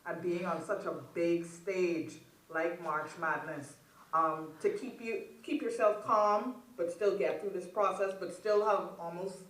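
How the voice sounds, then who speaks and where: A woman's voice: fairly low pitch, fairly even intonation, a little slow. Woman reporter, long winding question, roomy remote audio from far away. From Bahamas. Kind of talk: press conference question.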